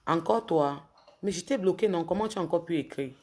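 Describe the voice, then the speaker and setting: A woman with a cold, a bit hoarse, talking casually to viewers on a phone livestream and scolding commenters with indignation. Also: on the low side, a little fast, a little mellow. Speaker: social media livestreamer.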